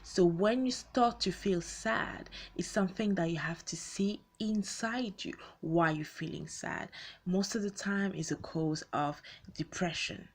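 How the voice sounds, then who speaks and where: Low-voiced, slow-paced young woman. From born in Congo, grew up in Belgium, moved to the UK as a teenager. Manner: conversational, repeats and rephrases with rhetorical questions. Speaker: video host speaking to followers. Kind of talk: unscripted personal talk to an online audience.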